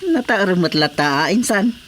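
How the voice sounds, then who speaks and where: A woman's voice: mid-range voice, lively intonation, measured pace, lightly acted. A teasing, talkative countrywoman played by a woman in an Ilocano radio comedy drama. She has a mid-range voice and an Ilocano accent, with faint hiss and chirping crickets behind.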